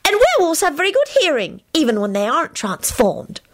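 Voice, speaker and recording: A woman's voice: high voice, lively intonation, unhurried, slightly rough, voice pushed up, heavily acted. A woman voicing a smug, mocking young schoolgirl in a storybook. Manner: smug, teasing.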